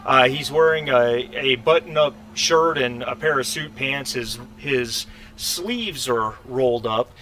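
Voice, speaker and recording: A man acting as game master, narrating a tabletop role-playing game in a halting way, searching for words as he describes scenes. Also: medium pitch, pushed and bright, low-quality recording.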